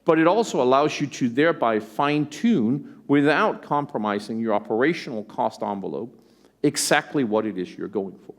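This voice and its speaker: A man from Denmark with an American accent gives a conference keynote, conversational and animated, tossing in rhetorical asides. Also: mid-range voice, normal speed. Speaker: tech company executive presenting. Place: conference stage.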